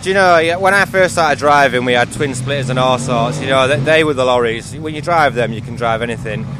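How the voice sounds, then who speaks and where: Man, Yorkshire accent, mid-pitched, matter-of-fact casual interview, outdoor vehicle noise. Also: fairly quick. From England. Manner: plain-spoken, matter-of-fact. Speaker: lorry driver being interviewed. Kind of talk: casual chat. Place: outdoor truck show. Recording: noisy outdoor showground, engine noise. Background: engine and vehicle noise.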